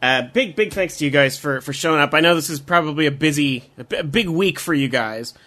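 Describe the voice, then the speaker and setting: A man's voice: fairly high pitch, fairly quick, pushed and bright. A man hosting a podcast, asking loose, friendly questions full of fillers. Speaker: podcast host. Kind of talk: casual interview.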